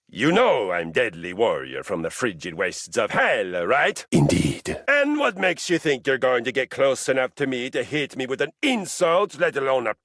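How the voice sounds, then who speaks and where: A bombastic warrior for a video game, pompous and in love with violence. The voice is gravelly and rough, swinging hugely in pitch. The phrases come slowly and grandly, in formal, boastful style. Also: male voice, fairly bright tone, dry recording, studio quality, full character voice. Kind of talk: theatrical video game character acting.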